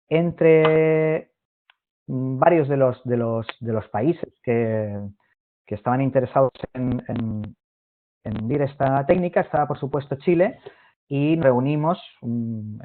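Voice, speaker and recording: A man giving a hesitant online presentation, full of fillers and restarts. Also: medium pitch. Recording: tinny phone-like line.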